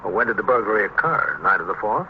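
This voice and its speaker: An actor playing a steady, commanding American police captain in an old-time radio drama, speaking in a measured, matter-of-fact way. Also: male voice, fairly low pitch. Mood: brisk, businesslike. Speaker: narrator and lead character. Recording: muffled old radio recording, faint noise.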